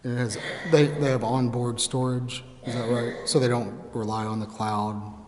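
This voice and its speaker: A man's voice: medium pitch, little pitch movement, normal speed, crowd noise. A man at a council meeting checking details with confirming questions. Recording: reverberant chamber, faint noise.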